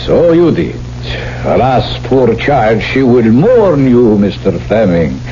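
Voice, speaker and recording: An actor playing a suave, cunning older count in a 1970s radio drama, urbane, condescending and theatrical in manner. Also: male voice, mid-range voice, very animated, slow, warm tone. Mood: mock regretful. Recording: dull vintage radio recording, faint hum.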